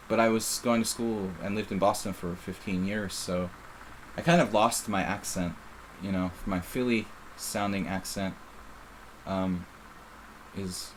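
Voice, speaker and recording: A middle-aged man with a faint Philadelphia accent and a fairly low voice talks through a chess game as he plays. He rambles casually and breaks off his own sentences to restart them. A faint hiss of noise sits under the voice. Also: low-quality recording. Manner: casual, rambling, self-interrupting. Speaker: chess streamer. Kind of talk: live chess commentary.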